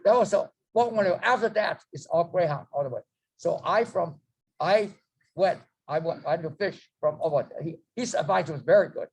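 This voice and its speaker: A man, telling his life story to a group, rambling and halting with many fillers and restarts. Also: on the high side, slightly rough, rough recording. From China. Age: 90s. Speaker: guest speaker. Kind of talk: storytelling memoir.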